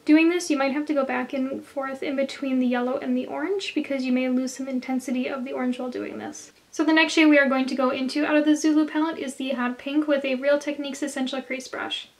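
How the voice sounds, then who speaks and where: A woman with a hoarse, slightly nasal voice, chatting through a makeup tutorial for her viewers. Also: sits high, steady tone, fast talker, hardly any pauses. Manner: chatty, talks straight to viewers. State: hoarse from a cold. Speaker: beauty vlogger.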